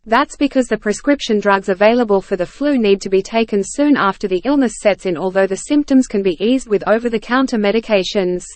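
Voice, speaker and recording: A woman reading scripted practice passages aloud in a measured, carefully phrased way. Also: medium pitch, darkish tone, dry recording. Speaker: reader of exam practice passages. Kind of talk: scripted read-aloud narration.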